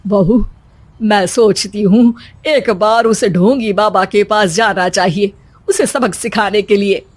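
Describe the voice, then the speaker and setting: A woman voicing a sharp-tongued older mother-in-law in a cartoon, grumbling and accusing. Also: medium pitch, fairly even intonation, slow pace. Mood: resolved.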